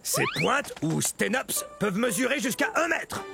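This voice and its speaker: A hearty stegosaurus coach commands the troops in an educational cartoon for children. The voice is heavily gravelled, raised in pitch and very animated, proud and bossy, with music behind it. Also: male voice, normal speed, heavily acted. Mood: commanding, proud. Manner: barking orders like a coach. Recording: music under the voice.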